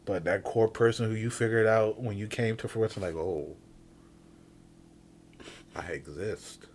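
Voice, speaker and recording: A man in his thirties co-hosting a podcast, musing in a laid-back casual way that now and then breaks into loud laughing rants. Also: lowish voice, measured pace, slight background noise. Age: 30s. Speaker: podcast co-host. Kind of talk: casual chat.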